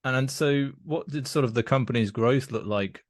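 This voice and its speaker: A man's voice: mid-range voice, normal speed, no room sound, clean studio sound. A man hosting an interview podcast, asking questions with hesitant restarts.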